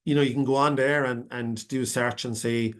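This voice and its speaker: Flat-voiced Irish man, rambling. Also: medium pitch, moderate pace. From Ireland. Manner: rambling, many restarts and fillers. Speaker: webinar panelist. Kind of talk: unscripted answer to a question. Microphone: video call.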